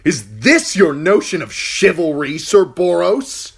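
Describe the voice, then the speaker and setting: A man's voice: medium pitch, very animated, unhurried, husky, pitched above the performer's own voice, exaggerated voice. A man voicing a cutting, commanding dwarf lord who scolds with scorn. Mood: indignant. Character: sharp-tongued, commanding adult dwarf lord.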